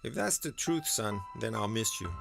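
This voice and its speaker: A man playing a kindly middle-aged blacksmith mentor in an animated drama, gentle, earnest and gravelly. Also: lowish voice, normal speed. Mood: earnest, tender. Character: kindly older male blacksmith, fatherly mentor. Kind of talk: dramatic animated series acting. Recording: voice over clear background music.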